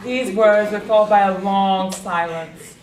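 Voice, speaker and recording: A woman's voice: mid-range voice, steady tone, measured pace, a little mellow. A woman narrating a readers' theatre story in a dramatic, scripted storytelling style. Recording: echoey distant recording, faint noise.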